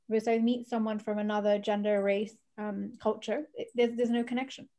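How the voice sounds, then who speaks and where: A woman's voice: mid-range voice, level tone, brisk, mellow. Earnest woman explaining on a panel. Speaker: panelist, venture fund founder. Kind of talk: panel discussion.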